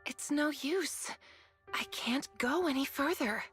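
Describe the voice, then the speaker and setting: A high-pitched young woman of a video game, drowsy and fatalistic. Her voice is soft and resigned, as if she has given up on escape. Faint music plays underneath. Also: slow. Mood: resigned, despairing. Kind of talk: English video game voice acting.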